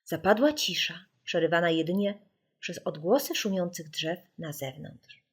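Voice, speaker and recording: A woman narrates a spooky tale for children with animated, swooping pitch. Her delivery is slow and theatrical, built for suspense, and her bright voice has a breathy, husky edge. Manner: theatrical, suspenseful, dropping into whispers. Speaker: audiobook narrator. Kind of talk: dramatic scripted narration of a children's spooky story.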